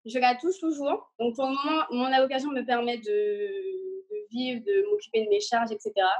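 Young woman, high slightly husky voice. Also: lively intonation, normal speed. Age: 20s. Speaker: guest, dance student. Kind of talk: casual interview answers. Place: indoor room, video call.

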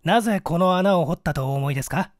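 A man voicing a calm, self-assured gentleman detective in a story reading, measured and explanatory. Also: fairly high pitch, no room sound, studio quality, natural voice. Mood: calm inquiry.

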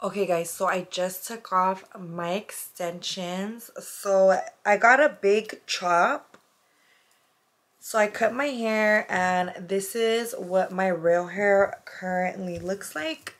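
A young woman chats to the camera in a loose, rambling way, full of fillers. Her voice is a bit hoarse and raspy. She talks from her bedroom, close to the camera. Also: medium pitch, slow pace. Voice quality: chatty, lots of fillers like "like" and "um". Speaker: vlogger. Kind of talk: casual chat to camera. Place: bedroom, indoors.

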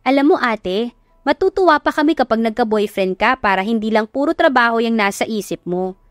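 Lady doing a giddy nosy little sister, high excitable voice, filipino accent, love story audiobook. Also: fairly quick, no echo, clean studio sound, faint music behind, close to an everyday voice. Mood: teasing. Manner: excitable, nagging. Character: teasing, giddy younger sister.

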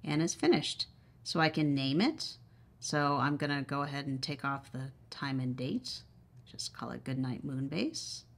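A woman with a notably low-pitched voice talks through a product demonstration. She is casual and conversational, explaining each step as she goes. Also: lively intonation, unhurried, gravelly. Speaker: product reviewer.

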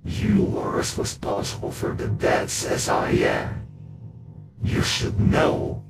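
A man voicing a menacing, sadistic animatronic rabbit monster with a growling rasp, taunting in drawn-out horror-game villain lines. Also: a little high, huge pitch swings, full character voice. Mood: accusing, sinister. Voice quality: gravelly, growling, breathy rasp. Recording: music under the voice.

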